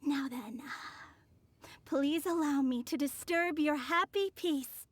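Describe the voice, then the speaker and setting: A woman's voice: high-pitched, slow, a little hiss or hum. An actress playing a sly, self-assured young woman, speaking with poised, teasing theatricality in a video game cutscene. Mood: poised, formal.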